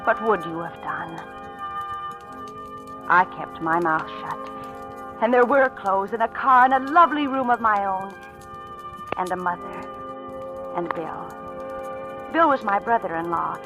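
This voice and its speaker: An anxious, defensive young woman in a vintage radio suspense play. She speaks at a middling pitch with a dramatic, confessional delivery. Music plays clearly behind her in an old broadcast recording. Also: animated, takes it slowly, pauses often. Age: young adult. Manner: confessional, dramatic, hesitant in dialogue. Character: anxious, defensive young divorcee living under false identity. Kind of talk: 1940s radio drama narration and acting.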